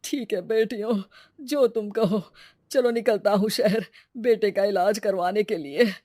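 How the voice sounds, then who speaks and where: A woman's voice: mid-range voice, a little slow, warm tone, dry recording, studio quality, natural voice. Woman doing a plaintive old village man. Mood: relieved. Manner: plaintive, lamenting. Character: distressed, poor elderly village man, a worried father. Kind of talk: Hindi moral-story cartoon dialogue.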